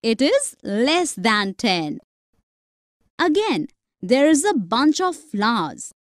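A woman narrating a slow, scripted math lesson for young children, counting objects aloud step by step. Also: on the high side, sing-song, no room sound, studio quality. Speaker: teacher.

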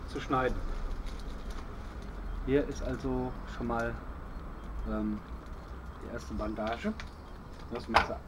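Guy in his middle years showing his kit outside, slow and umm-y, birds and outdoor noise in the background. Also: mid-range voice. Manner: relaxed, hesitant, many fillers. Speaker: bushcraft video host. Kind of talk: casual show-and-tell. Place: outdoors on a patio by a wall.

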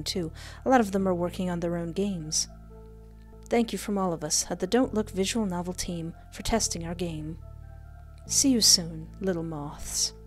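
A playful, expressive woman doing a let's play, reading game text aloud and commenting, close on a desk microphone. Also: mid-range voice. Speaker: gaming streamer. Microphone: close desk microphone with headset. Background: game music.

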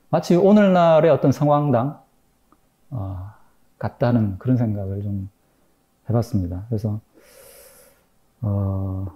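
Guy in his 40s giving a gallery talk, real dark mellow voice, lots of um and uh, kinda muffled lo-fi mic. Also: mid-range voice. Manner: thoughtful, hesitant, many fillers and pauses. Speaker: lecturer. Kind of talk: public lecture. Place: gallery lecture room with projector. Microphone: microphone stand at lectern.

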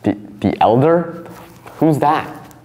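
A man's voice: fairly high pitch, lively intonation, slow pace, darkish tone. A young man playing a confused, sceptical student in an amateur comedy skit. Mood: puzzled. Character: confused, sceptical male student cramming for a history test. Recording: echoey room, faint background noise.

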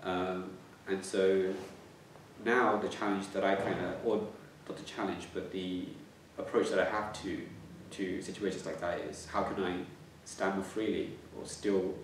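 A man's voice: sits low, level tone, unhurried, slight background noise. A man in his twenties who stammers, repeating words and restarting as he answers interview questions reflectively. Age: 20s. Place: plain room indoors. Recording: echoey room, slightly distant mic.

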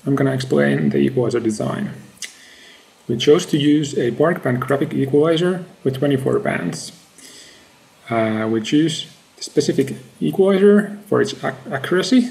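A man in his thirties with a creaky voice gives a measured, matter-of-fact research presentation in halting phrases. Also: mid-range voice, a little mellow. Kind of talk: scripted academic slide presentation.

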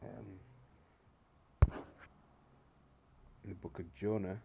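A man's voice: low-pitched, fairly even intonation, extremely slow, creaky, dark tone, lo-fi sound, slight background noise. A man giving preachy religious commentary over a screen recording, checking in with "all right?" over and over. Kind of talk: screen-recorded commentary reading articles and scripture.

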